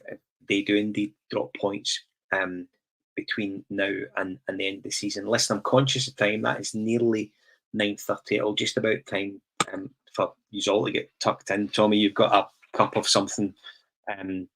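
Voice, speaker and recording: A man's voice: on the low side, normal speed, low-quality recording. A man with a Scottish accent hosting a casual football podcast and putting questions to his panel. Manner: relaxed, asks the panel questions. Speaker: podcast host.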